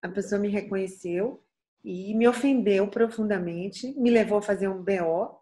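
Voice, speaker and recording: A middle-aged Brazilian singer from Bahia with a light Bahian accent and a soft voice, speaking earnestly and reflectively in an interview, with frequent restarts. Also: female voice, medium pitch, moderate pace. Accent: Bahian Portuguese. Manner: thoughtful, earnest, frequent restarts and tag questions.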